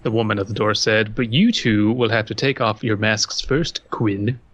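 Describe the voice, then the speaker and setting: A man's voice: fairly low pitch, normal speed, fairly bright tone. A man co-hosting a podcast, chatting casually and reading aloud, with dry, crude quips. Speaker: podcast co-host. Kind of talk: casual chat with story read-alouds.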